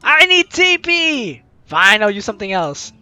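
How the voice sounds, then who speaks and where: Animated, bright-voiced game streamer over background music. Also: medium pitch, measured pace, clean tone, no echo. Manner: animated, theatrical, playfully exclaiming. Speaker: gaming video host reading a visual novel aloud. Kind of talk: let's play commentary with dramatized reading of game text.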